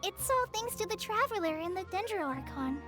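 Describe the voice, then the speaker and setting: Very high-pitched timid young girl. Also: lively intonation, brisk, over loud music. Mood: shy, reassuring. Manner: hesitant, earnest. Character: timid, anxious, sweet teenage girl apprentice. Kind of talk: video game character voice acting.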